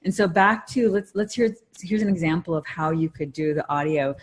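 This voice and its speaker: Female podcast co-host, low register, conversational and explanatory, recorded over an online call. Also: moderate pace.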